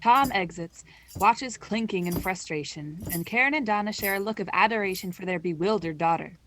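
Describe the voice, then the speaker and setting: A woman's voice: mid-range voice, a little fast, fairly bright tone, a little hiss or hum, lightly acted, low-quality recording. A young woman narrating a radio play in a wry, scripted storytelling style.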